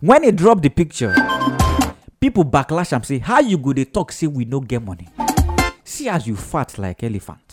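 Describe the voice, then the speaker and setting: A man's voice: medium pitch, normal speed, over loud music. A man with a Nigerian Pidgin accent, hosting a casual, teasing gossip show. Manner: animated, chatty, teasing. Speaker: radio show host.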